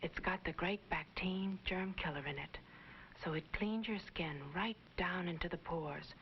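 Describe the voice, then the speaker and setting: A woman playing an earnest skin-cream demonstrator in a 1950s TV commercial, speaking softly, near a whisper at times. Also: on the low side, a little slow. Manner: soft, breathy, near-whisper in places. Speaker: commercial presenter. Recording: dull 1950s TV ad, faint noise.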